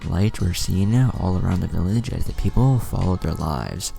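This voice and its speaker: A man with a gravelly voice reading a scripted fan fiction story as narrator. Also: low-pitched, normal speed, mellow, soft background music.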